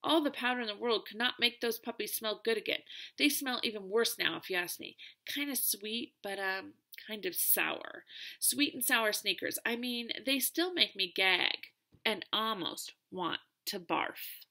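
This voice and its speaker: A woman's voice: a little high, unhurried, pushed and bright. A woman voicing a bossy, teasing girl who plays the big sister, sounding exasperated. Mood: chatty scheming.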